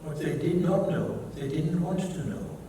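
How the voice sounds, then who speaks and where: A man's voice: high voice, a little slow, warm tone. An older man with a German accent, a native German speaker, gives a keynote talk and tells personal stories in a reflective way, quoting others and correcting himself as he goes. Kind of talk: personal testimony lecture. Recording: echoey hall, mic at a distance, background noise.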